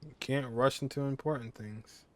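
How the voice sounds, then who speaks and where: A man's voice: mid-range voice, moderate pace. A man streaming a game, casually commenting and reading dialogue choices aloud. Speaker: gaming streamer.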